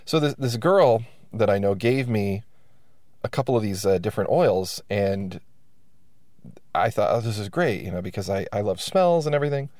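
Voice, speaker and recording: A man with a lowish voice hosts his podcast in a loose, self-deprecating ramble, his nose stuffed up from allergies. He's close on a dry mic with no room sound. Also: lively intonation, moderate pace, darkish tone, no echo. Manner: wry, self-deprecating, many restarts and fillers. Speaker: podcast host. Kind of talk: casual rambling monologue.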